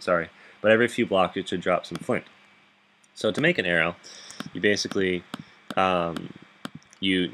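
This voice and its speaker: Very deep-voiced man chatting while gaming. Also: sharp tone, slight background noise. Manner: rambling, chuckling, many fillers and restarts. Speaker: gaming video host. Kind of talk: casual gameplay commentary. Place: bedroom, sitting up in bed. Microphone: laptop microphone.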